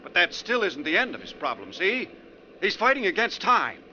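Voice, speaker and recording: An actor playing a sarcastic, edgy, talkative bus passenger in a needling, theatrical 1940s radio style. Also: male voice, high-pitched, huge pitch swings, moderate pace, bright, forceful tone, full character voice. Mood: speculative, intense. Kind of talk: 1940s radio drama acting. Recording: dull, noisy 1940s radio recording.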